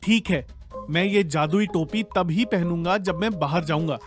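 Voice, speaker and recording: A man voicing a plain, insecure young husband in an animated comedy story. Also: sits high, moderate pace, soft background music, lightly acted. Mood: earnest.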